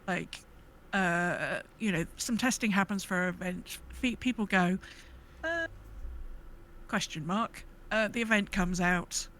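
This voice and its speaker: A woman's voice: medium pitch, a little slow, fairly bright tone, a little hiss or hum. A woman co-hosting a podcast in casual gaming chat, thinking aloud in a hesitant, rambling way. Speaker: podcast co-host.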